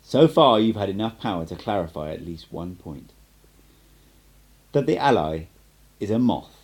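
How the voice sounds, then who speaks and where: A man's voice: lowish voice, expressive, normal speed, a little mellow, breathy edge. A man voicing an assured, cryptic sorcerer mentor in an audiobook, with an emphatic, instructive manner. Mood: solemn, emphatic.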